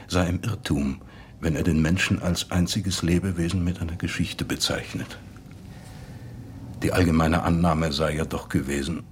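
A man playing a thoughtful acquaintance recalling a late friend in a measured, articulate radio drama monologue. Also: extremely low pitch, a little hiss or hum. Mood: reflective.

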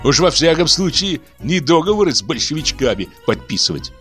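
A man voicing a pompous, blustering middle-aged locksmith who shouts with sneering irony. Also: sits high, sing-song, slow, faint music behind, voice pushed up, exaggerated voice.

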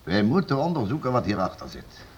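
A man's voice: mid-range voice, sing-song, fairly quick, husky, lo-fi sound. An actor playing a stern, domineering nobleman in a period radio play, curt, impatient and commanding. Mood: resolute. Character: stern, domineering nobleman interrogating servants for the crown. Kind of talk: period radio play acting in formal Dutch.

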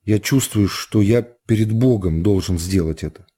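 A man playing a remorseful nobleman in a radio drama, speaking with quiet, insistent earnestness. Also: on the low side, normal speed, slightly rough. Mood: earnest. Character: remorseful nobleman, earnest, trembling, pleading with a woman.

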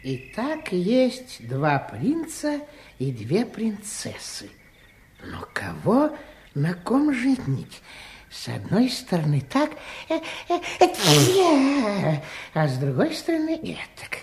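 Slow, scheming court minister. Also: female voice, medium pitch, huge pitch swings, darkish tone, a little hiss or hum, exaggerated voice. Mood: scheming, pondering. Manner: hesitant musing, theatrical, ingratiating. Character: scheming, flattering court minister, fussy and calculating. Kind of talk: theatrical fairy-tale audio play.

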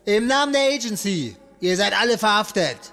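A man plays a tough special agent hero from a pulp horror radio play. His voice is bright and forceful and swings widely in pitch. He is cocky, quick to threaten and quick to snap. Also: sing-song, faint music behind, heavily acted. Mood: angry, threatening. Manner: wisecracking, threatening. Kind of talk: amateur pulp horror audio drama acting.

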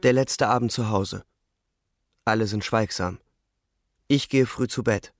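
Young soldier, grief-worn, subdued, low register, fry in the voice, literary radio play. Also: male voice, a little slow, soft background music. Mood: grieving, subdued. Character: weary, grieving young German soldier narrating his war. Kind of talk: literary radio drama, narration and dialogue.

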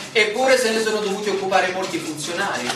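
An actor playing an insistent land surveyor, a stranger challenging officials in formal, ironic, theatrical speech. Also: male voice, high-pitched, animated, moderate pace. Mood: ironic, probing. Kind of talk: stage-style radio drama acting. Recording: noisy echoey room, far from mic.

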